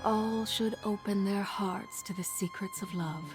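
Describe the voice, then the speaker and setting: A woman playing a gracious priestess of love in a video game, speaking in a measured, kindly, slightly lofty manner. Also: mid-range voice, steady tone, lightly acted. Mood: serene, wistful. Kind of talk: video game character dialogue. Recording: clear game music underneath. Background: game music.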